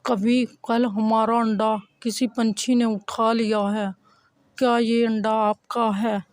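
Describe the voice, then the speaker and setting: A woman voicing a gentle, caring father bird in a children's cartoon, speaking earnestly. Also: on the high side, level tone, slow, no room sound. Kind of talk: Hindi children's moral cartoon acting.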